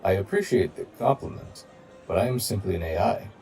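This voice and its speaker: A man voicing a loyal giant combat robot AI in an audio roleplay, formal, measured and protective of a human partner, with a gravelly voice. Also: low-pitched, animated, dark tone, distant mic, faint music behind. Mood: calm, reassuring. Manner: formal, measured, literal-minded. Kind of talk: scripted audio roleplay addressed to the listener.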